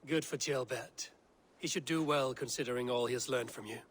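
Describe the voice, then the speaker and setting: A man playing a measured, authoritative Viking leader in a video game, speaking deliberately. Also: medium pitch, lightly acted. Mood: calm, thoughtful. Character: firm, measured Viking leader settling disputes. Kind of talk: video game cutscene acting.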